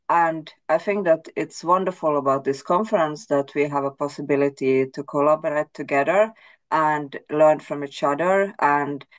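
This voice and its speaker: A Swedish-speaking woman astrologer, answering interview questions thoughtfully with frequent restarts. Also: sits low. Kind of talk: casual interview.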